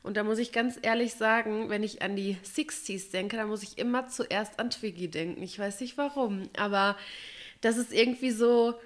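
A woman's voice: mid-range voice, moderate pace. A woman chatting casually and emphatically as a podcast co-host, a little stuffy from a cold.

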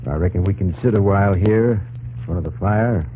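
An actor playing a kindly, plainspoken frontier army scout in an old-time radio drama, folksy and reassuring. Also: male voice, deep voice, brisk, a little hiss or hum, close to an everyday voice. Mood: gentle. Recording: muffled old radio recording, music behind.

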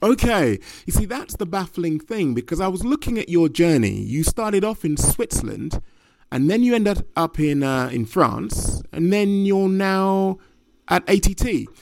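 A man's voice: sits high, very animated, measured pace, gravelly. A man interviewing a fighter, asking long leading questions in slightly formal phrasing. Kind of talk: sports interview.

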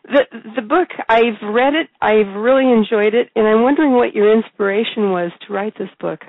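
A woman hosting an interview, hoarse with a cough and a raspy voice, talking in a loose conversational way. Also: fairly high pitch, moderate pace. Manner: conversational with fillers and restarts. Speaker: host and executive coach. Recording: dry tinny phone line.

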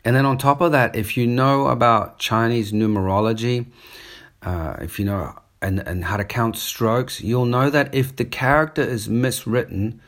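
A man's voice: mid-range voice, normal speed, fairly bright tone. Brief: male, New Zealand accent, mid-range voice, casual digressive monologue. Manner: rambling, digressive, conversational. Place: on holiday up the coast in Australia.

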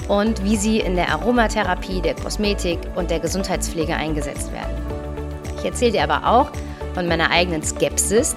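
Calm woman podcaster, slightly stuffy. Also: lowish voice. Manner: calm, friendly direct address. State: slightly stuffy nose from a cold. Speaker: podcast host, yoga teacher and coach. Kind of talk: solo podcast talk.

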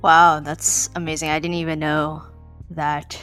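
A woman's voice: low-pitched, level tone, unhurried, fairly bright tone, smooth, soft background music. A young woman from California hosting a casual podcast interview, chatty with lots of ums and likes. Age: young adult. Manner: chatty, many ums and likes, laughs between phrases. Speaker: podcast host.

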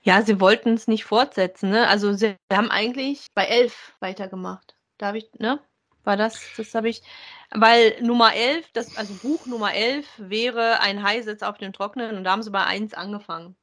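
A woman's voice: mid-range voice, steady tone, normal speed, a bit bright. A woman co-hosting a podcast in a casual, conversational review of audio dramas. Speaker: podcast co-host.

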